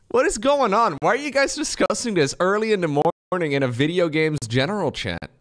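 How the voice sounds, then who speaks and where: A young man live-streaming from his room, talking to chat with a bouncy, animated delivery. He jokes around and reacts freely, his pitch sweeping up and down as he goes. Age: young adult. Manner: lively, joking, reads chat aloud. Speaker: streamer editing a video. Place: small room at home, indoors. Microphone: desk mic, wearing headphones, webcam framing.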